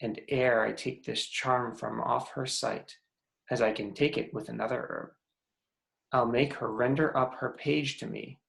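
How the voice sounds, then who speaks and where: A man's voice: medium pitch, fairly even intonation, measured pace, gravelly, a bit bright, low-quality recording. A man playing a jealous, commanding fairy king in a theatrical, declamatory reading of Shakespearean verse. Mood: scheming. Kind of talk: Shakespearean verse table read. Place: remote video call reading.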